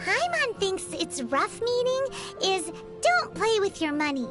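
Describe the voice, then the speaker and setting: A woman voicing a small, excitable, naive childlike fairy companion in a video game, with a squeaky voice and cute exaggerated delivery. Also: a little slow, full character voice. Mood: chirpy, explaining. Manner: speaks of self in third person, exaggerated cute delivery. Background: music.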